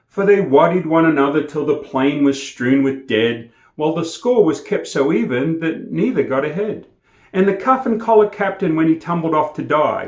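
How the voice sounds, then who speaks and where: A man reciting and presenting poetry in an energetic, theatrical storytelling manner. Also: fairly high pitch. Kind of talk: expressive poetry recitation with casual introduction. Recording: echoey room.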